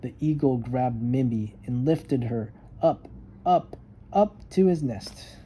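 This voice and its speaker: A man reading a children's story aloud with expressive, emphatic narration. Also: mid-range voice, slow, husky. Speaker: storybook reader.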